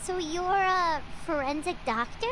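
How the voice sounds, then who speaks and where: Squeaky little fairy, very noisy. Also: female voice, a little slow. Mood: curious. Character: tiny excitable childlike fairy companion. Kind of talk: video game voice acting.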